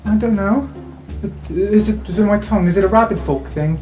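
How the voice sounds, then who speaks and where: A woman's voice: medium pitch, a little fast, dark tone, faint music behind, voice pushed up, natural voice. A woman voicing a flustered, insistent young male rabbit-folk messenger who stammers earnestly in a tabletop game. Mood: flustered, pleading.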